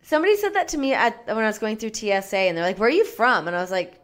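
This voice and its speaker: Expressive woman from Canada, lively pitch. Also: racing. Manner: expressive, swings between deadpan and excited. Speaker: podcast co-host. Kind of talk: casual chat.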